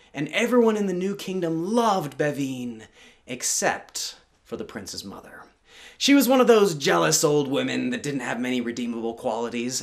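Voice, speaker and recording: A man telling a folktale as a live storyteller, in a dramatic, theatrical, tongue-in-cheek way. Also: a little high.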